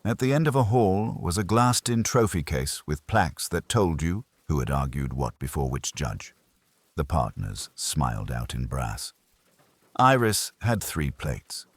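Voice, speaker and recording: Really low husky guy reading a noir detective audiobook, deadpan and clipped, dry close sound. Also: measured pace, dry recording. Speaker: narrator. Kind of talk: scripted audiobook narration of a hardboiled detective story.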